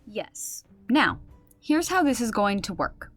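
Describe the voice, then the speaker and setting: Woman playing an animated, composed fantasy storyteller. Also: fairly high pitch, slow pace, soft background music, full character voice. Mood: organizing. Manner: clear explanatory, gently authoritative. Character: composed, organized female game storyteller, patient but firm.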